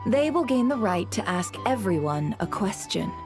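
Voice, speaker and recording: A woman voicing a poised, formal and teasing hostess in a video game, speaking in measured phrases. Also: mid-range voice, sing-song, music in the background, lightly acted. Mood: composed, grand.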